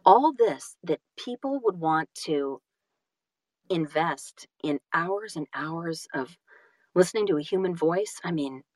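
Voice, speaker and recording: Animated, halting woman, dry close sound. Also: on the low side, no echo. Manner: animated, emphatic, halting with repeated words. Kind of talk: casual conversation about the audiobook business.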